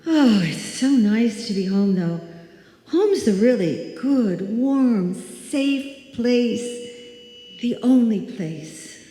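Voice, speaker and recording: A woman's voice: a little high, animated, drawn-out words, mellow. A woman acting a frightened woman alone at night, talking to herself in a live old-time radio suspense play. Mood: relieved, reassuring herself. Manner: breathless pleading and self-soothing, theatrical. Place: live stage performance in a hall. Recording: echoey live hall, music behind.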